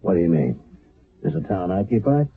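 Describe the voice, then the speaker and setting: A gravelly, hesitant army captain. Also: male voice, sits low, big pitch swings, brisk, heavily acted. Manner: hesitant, with many uh fillers. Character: gruff army engineer captain, brisk. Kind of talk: 1970s radio drama acting. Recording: lo-fi old radio broadcast.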